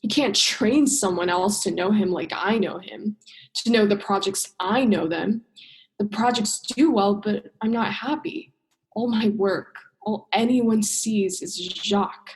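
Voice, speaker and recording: A woman's voice: medium pitch, a little slow, close to an everyday voice, low-quality recording. A woman drama student acting a bitter, sarcastic young female scientist in a radio play, sardonic and emphatic, stretching stressed words. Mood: wistful bitterness. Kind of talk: radio play monologue and dialogue.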